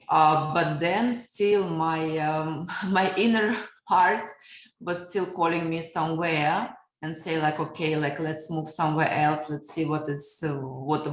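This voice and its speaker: Russian woman in her 30s, low voice, reflective storytelling, echoey room. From Siberia, Russia. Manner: reflective, storytelling, frequent fillers. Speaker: guest. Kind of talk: podcast interview answers.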